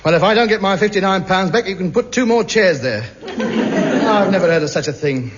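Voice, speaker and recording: A man's voice: animated, normal speed. A man playing a pompous, indignant Briton. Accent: British. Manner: pompous, indignant, theatrical swings in pitch. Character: pompous hungry self-important man, indignant. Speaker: lead comic actor. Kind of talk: 1950s radio sitcom acting. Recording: muffled hissy 1950s radio broadcast.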